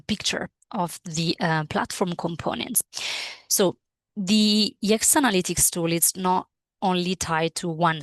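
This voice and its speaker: A woman's voice: fairly low pitch, no echo. A woman whose first language is Italian, presenting a live training webinar with frequent fillers and restarts. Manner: hesitant, many fillers and restarts.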